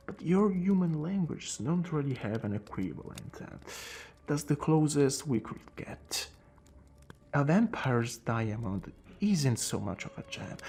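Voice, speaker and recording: Man acting ancient male vampire, gravelly and creaky, courteous and wry, hushed ASMR roleplay delivery, swooping lively pitch. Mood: grave, intrigued. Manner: slow, hushed, drifting into whispers, theatrical pauses. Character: courteous, centuries-old male vampire captor, calm and wry. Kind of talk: ASMR roleplay addressed to the listener.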